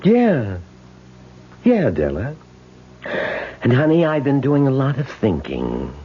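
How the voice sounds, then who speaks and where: A young suitor in a vintage radio play, coaxing and unhurried. His voice is husky and slow with very wide swings in pitch, on a muffled old recording. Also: wildly swooping pitch, full character voice. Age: 20s. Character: smooth man of twenty-eight courting his girlfriend. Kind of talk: old-time radio drama acting.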